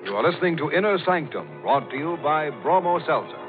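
A man reading a scripted commercial as a 1940s radio announcer, smooth and persuasive, on a tinny old recording. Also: a little high, expressive, brisk, over loud music.

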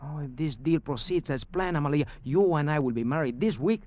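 An actor playing a wily, formally polite smuggler with a Latin American Spanish accent in an old radio drama. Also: male voice, a little high, lively intonation, fairly quick, darkish tone, close to an everyday voice. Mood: excited coaxing. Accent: Spanish-speaking Latin American. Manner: formal, elaborately polite, smooth-talking. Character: wily, courteous middleman smuggler talking of going honest. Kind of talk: 1940s-50s radio drama acting. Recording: dull old radio broadcast with music.

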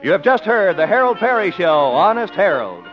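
Male American announcer, high animated voice, polished and emphatic, vintage radio commercial style, muffled old recording. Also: normal speed. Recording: dull old radio broadcast with music.

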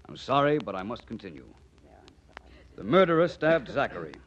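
An actor playing a suave, self-assured male detective who explains things politely and deliberately in 1940s radio drama style. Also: medium pitch, sing-song, fairly bright tone. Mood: confident. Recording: muffled, noisy 1940s radio recording.

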